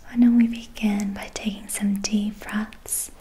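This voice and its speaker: A young adult woman guiding a relaxation in a soft, breathy ASMR whisper, soothing, gentle and unhurried. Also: medium pitch, flat delivery. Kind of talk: whispered ASMR guided meditation. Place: small dim quiet room indoors.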